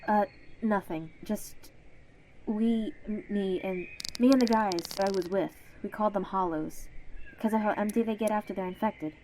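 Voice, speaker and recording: A woman's voice: medium pitch, moderate pace, warm tone, close to an everyday voice. A woman playing a guarded, irritable young survivor in a zombie apocalypse audio drama, with a blunt, curt way of talking. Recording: slightly noisy amateur recording with ambience.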